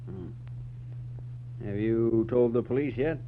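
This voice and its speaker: A man acts as a guarded, wealthy cheating husband in a radio detective play from the 1940s. He speaks in a clipped, businesslike way at a medium pitch. The old recording is phone-like and noisy. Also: slow, natural voice. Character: guarded, well-off male client, cheating husband. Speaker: supporting actor. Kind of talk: 1940s radio noir acting.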